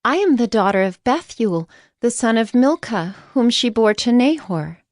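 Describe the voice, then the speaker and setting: A woman playing a gracious, obliging young maiden in a dramatized Bible recording, speaking politely and gently. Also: fairly high pitch, expressive, moderate pace, no echo, clean studio sound, lightly acted. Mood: polite, welcoming. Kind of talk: dramatized Bible audio acting.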